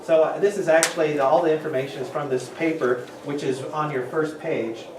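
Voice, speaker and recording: Middle-aged guy talking to a workshop, kinda high voice, echoey noisy room. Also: fairly even intonation, brisk, far from the mic. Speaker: workshop presenter. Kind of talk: informal workshop talk to a group. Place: large meeting room with windows and tables. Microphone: distant camera microphone.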